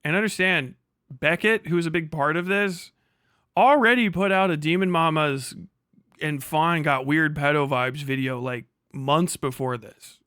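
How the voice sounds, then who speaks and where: Male streamer, higher-than-average pitch, rambling exasperated reaction commentary with plenty of swearing, very dry sound. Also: unhurried, pushed and bright, clear, resonant tone, dry recording. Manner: exasperated, argumentative, emphatic, rambling. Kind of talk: casual reaction commentary, profane.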